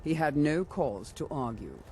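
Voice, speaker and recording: An actor playing a proud, suspicious veteran bodyguard in a fantasy video game, speaking deliberately. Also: male voice, a little high, very animated, mellow, slight background noise. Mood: proud, guarded. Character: wary, proud veteran bodyguard of a lord, male.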